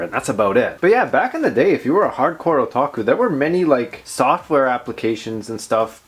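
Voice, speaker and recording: A man's voice: medium pitch, breathy edge. A man hosting a casual tech and gaming vlog, talking informally with slangy, self-deprecating commentary. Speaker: video host. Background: background music.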